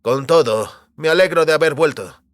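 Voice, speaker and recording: Un actor interpreta a un extécnico fugitivo, decidido y calculador, en una audioficción, con habla segura y pausada. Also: male voice, fairly high pitch, no echo, studio quality, lightly acted. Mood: confident. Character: Jennings, determined scheming former technician on the run. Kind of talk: science fiction audio drama dialogue.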